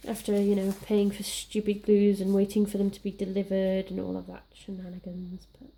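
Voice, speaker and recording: Young woman, welsh accent, croaky from illness, rambling crafting chatter, gravelly, mellow. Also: medium pitch, monotone, brisk, poor audio. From Wales. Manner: chatty, rambling, self-deprecating. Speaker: scrapbooking crafter. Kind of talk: casual crafting chat.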